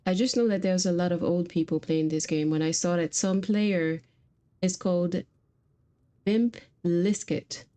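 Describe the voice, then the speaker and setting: A woman's voice: lowish voice, a little slow, dark tone. A woman streamer talking casually and informally while she plays a video game, chatty with her viewers. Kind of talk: casual livestream chat while playing.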